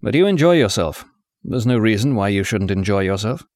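A wounded, brooding man, curt and sardonic, read by a male narrator in a near-natural voice. The voice sits low. The sound is close and dry. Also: animated, a little fast, a little mellow, no room sound, natural voice. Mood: bitter. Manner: clipped, gruff and sardonic.

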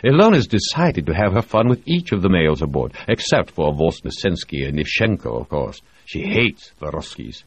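A man's voice: deep voice, big pitch swings, normal speed, hardly any pauses, breathy edge, no echo, highs cut off, exaggerated voice. A man voicing a sly, leering English ship's doctor who talks in a smug, teasing way. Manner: smug, teasing, with a crooked grin.

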